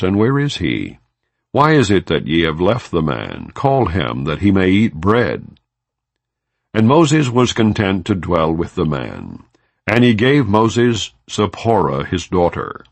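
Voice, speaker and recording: Deep-voiced man reading scripture. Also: vocal fry, no room sound. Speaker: audiobook narrator. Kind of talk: non-dramatized scripture reading, scripted narration.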